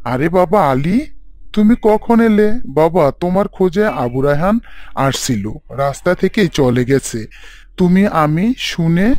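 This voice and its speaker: A man's voice: fairly high pitch, a little mellow. A man voicing a kindly middle-aged village father who talks affectionately to the young men around him. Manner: affectionate, calls everyone "son". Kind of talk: animated story dubbing.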